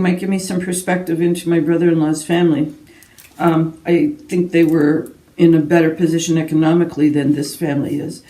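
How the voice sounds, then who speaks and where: A woman with a soft-edged, dark voice talks casually in the style of a book vlogger. She wanders through asides and interrupts herself as she goes. The sound is roomy and echoing, with her set back from the microphone. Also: lowish voice. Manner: rambling, self-interrupting asides. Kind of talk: casual book chat to camera. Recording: roomy echo, distant from mic.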